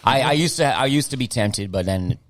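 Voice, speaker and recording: A man's voice: medium pitch, animated, a little fast, no room sound. A middle-aged man, a rapper whose first language is German, talking casually as a podcast guest, laid-back and slangy, often repeating words as he goes.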